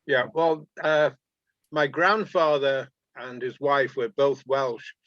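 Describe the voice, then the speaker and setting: A man's voice: mid-range voice, fairly even intonation, takes it slowly, no echo. A man from England casually recalling things on a muffled, dry video call. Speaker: panel participant. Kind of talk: casual recollection.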